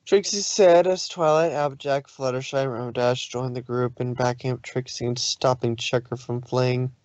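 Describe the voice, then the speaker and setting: A man reading fan fiction aloud as an expressive story narrator. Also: medium pitch, normal speed, no echo.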